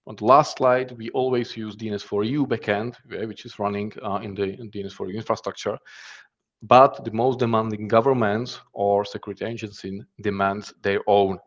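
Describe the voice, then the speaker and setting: A man's voice: on the low side, lo-fi sound. A man from the Czech Republic with a Czech accent gives a conference presentation in a hesitant manner, often repeating words and phrases. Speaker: threat intelligence specialist giving a conference talk.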